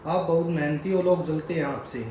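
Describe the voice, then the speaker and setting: A man's voice: fairly high pitch, steady tone, a little fast, warm tone. Agreeable man in a very echoey room. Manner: agreeable, fills in with short assent. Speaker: friend reading viewer comments. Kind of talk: casual chat. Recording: very echoey room with clear background noise.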